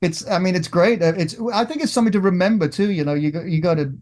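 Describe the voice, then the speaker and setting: A middle-aged man from England, a guest guitarist, talking in a casual interview with many restarts and pauses. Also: high voice, darkish tone. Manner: halting, many restarts and fillers.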